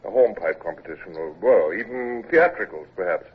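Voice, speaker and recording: A man playing an impatient, brisk naval commodore who also narrates the story, in 1940s radio drama style. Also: low-pitched, expressive, slightly rough. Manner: brisk, impatient, commanding, given to stammered restarts. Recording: dull old radio transfer with faint hiss.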